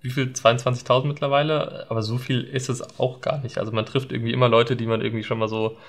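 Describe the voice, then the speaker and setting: A young man speaks as a guest on a casual podcast, seated at a desk in a studio set. His voice sits at a middle pitch, and he talks in a thoughtful, hesitant way, filling his pauses with ums. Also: level tone. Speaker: guest, videographer. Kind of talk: casual interview chat.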